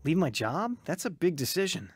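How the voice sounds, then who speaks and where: A man's voice: on the high side, very animated, normal speed. An actor playing a troubled, searching young man who tells his own story earnestly in a radio drama. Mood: hesitant. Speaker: narrator and lead actor. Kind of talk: dramatized first-person radio testimony. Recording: music behind the voice.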